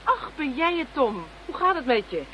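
An actress playing a confident, stubbornly independent police inspector in a radio play, brisk and lightly teasing. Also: female voice, sits high, sing-song, sharp tone, full character voice. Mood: friendly. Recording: muffled radio play, faint hiss.

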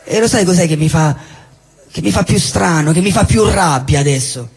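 A man acting a disillusioned, jobless character, blunt yet wistful, in halting stage dialogue with repeated phrases and a husky voice. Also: a little high, lively intonation, exaggerated voice. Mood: bitter. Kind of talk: theatrical stage dialogue and monologue. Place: theatre hall, live show. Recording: echoey hall, live stage recording.

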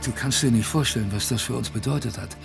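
An actor playing a young expedition sailor, narrating a polar ordeal gravely and reflectively in a radio play. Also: male voice, mid-range voice, quick pace, loud music behind the voice.

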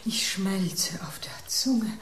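A woman's voice: medium pitch, takes it slowly, fairly bright tone, roomy sound, in a whisper. A woman voicing a sensual, self-admiring salmon mousse in a radio play, snobbish and breathily swooning. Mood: sensual, rapturous. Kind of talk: German radio play acting.

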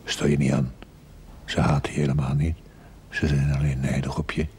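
An actor playing a wry, teasing confidant in a television drama, with a Dutch accent and a hushed, sardonic delivery. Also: male voice, rumbling low, flat delivery, moderate pace, a little hiss or hum. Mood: dry, reassuring. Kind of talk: television period drama acting.

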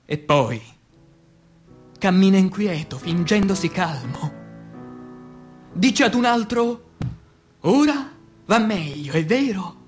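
A man reciting poetry as radio theatre in speech, declamatory, with dramatic pauses, moving between hushed and emphatic delivery. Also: high voice, expressive. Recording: music under the voice. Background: music.

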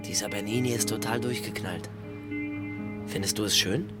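A man's voice: lowish voice, steady tone, moderate pace, over loud music, close to an everyday voice. An actor playing an earnest, helpful young man in a German radio drama, casual and eager to explain. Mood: eagerly explaining.

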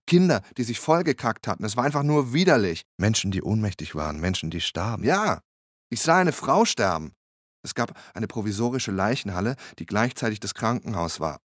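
An actor playing a shaken disaster eyewitness in a German radio drama, recounting it bluntly in the first person with agitation. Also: male voice, on the low side, no echo, studio quality, natural voice. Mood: agitated.

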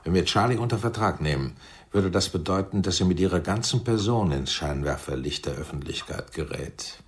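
Deep-voiced, smooth American showbusiness man. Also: expressive, a little fast. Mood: feigned regret. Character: shrewd American showbusiness man, smooth, feigning regretful concern. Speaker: actor in a radio play. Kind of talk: German radio drama acting.

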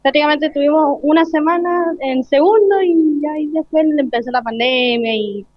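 A woman's voice: high-pitched, moderate pace, dry recording, dull audio. A teenage young woman answering casually as a student in a live interview. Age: teens.

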